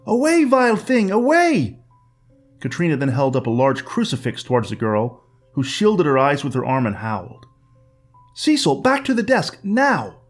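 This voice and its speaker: A man voicing a brisk, capable woman housekeeper who speaks firmly and matter-of-factly. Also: mid-range voice, very animated, faint music behind. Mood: commanding. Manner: firm, matter-of-fact.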